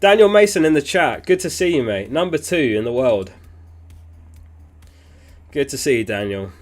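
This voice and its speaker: A man hosting a casual livestream, reading viewer messages aloud in a relaxed, chatty way. He is sniffly and keeps clearing his throat. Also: mid-range voice, moderate pace. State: sniffly, getting over an illness. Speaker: fantasy football livestream host.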